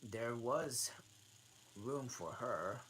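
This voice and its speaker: A woman's voice: rumbling low, lively intonation, drawn-out words. A woman reading a storybook aloud as an amateur host, often stumbling over words and repeating phrases. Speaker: channel host, reader. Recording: noticeable background noise.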